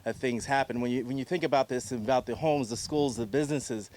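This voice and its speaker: A man's voice: mid-range voice, fairly quick, darkish tone. Man hosting a press conference. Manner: formal, thanking speakers and guests. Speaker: host, agency CEO.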